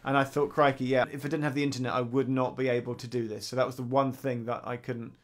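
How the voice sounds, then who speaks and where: Animated podcast co-host. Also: male voice, mid-range voice, fast talker. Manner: animated storyteller, acts out his own thoughts. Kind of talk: casual chat.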